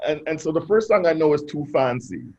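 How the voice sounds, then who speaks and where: A man hosting a talk show interview, with hyped-up introductions and lots of quick affirmations. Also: on the high side, lively intonation, darkish tone, muffled recording. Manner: hypey introductions, frequent affirming "right".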